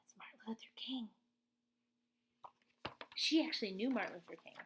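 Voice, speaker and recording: A woman teacher reading a picture book aloud, with expressive, informal, explanatory asides. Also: fairly high pitch, moderate pace, a little hiss or hum.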